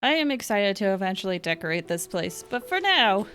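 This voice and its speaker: A woman's voice: mid-range voice, big pitch swings, a little fast, fairly bright tone. A woman live-streaming a video game, chatting casually and playfully with little exclamations and sound words. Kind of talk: casual chat while playing. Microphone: streaming microphone.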